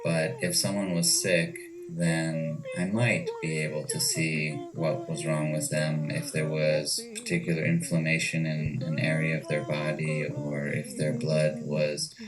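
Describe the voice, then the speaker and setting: Very deep-voiced American man, distant mic. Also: level tone, measured pace. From United States. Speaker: interview guest, ayahuasca retreat owner. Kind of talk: thoughtful interview answers. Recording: distant mic with clear music behind.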